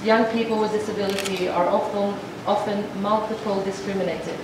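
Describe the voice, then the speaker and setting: A woman voicing a formal speech to an assembly as a sign language interpreter, measured and halting, with frequent restarts. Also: medium pitch, fairly even intonation. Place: large assembly hall. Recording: echoey hall from far off, noisy.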